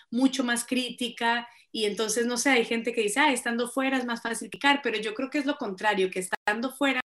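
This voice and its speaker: A woman's voice: on the high side, a bit bright. A Colombian woman, a singer-songwriter, talking reflectively as a radio guest and laughing often. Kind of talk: radio interview.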